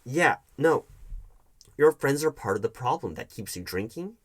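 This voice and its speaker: A man playing a hurt, defensive hiring manager in an audio roleplay, talking to his ex in a halting, sarcastic way. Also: mid-range voice, animated, natural voice. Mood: guarded, exasperated. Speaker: roleplay performer. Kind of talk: one-sided audio roleplay acting.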